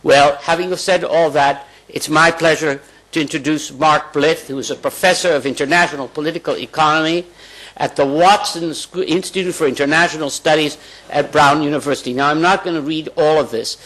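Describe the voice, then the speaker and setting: An older man at a lectern introducing a guest speaker in a measured, formal manner, reading from notes. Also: a little high, steady tone, fairly bright tone, rough recording. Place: lecture hall at a lectern. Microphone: lectern microphone.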